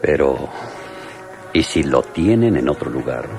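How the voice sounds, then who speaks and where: An actor playing a cautious, grave Mexican police lieutenant in a radio drama, speaking with deliberate authority. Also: male voice, rumbling low, big pitch swings, music in the background, heavily acted. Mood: pensive, concerned.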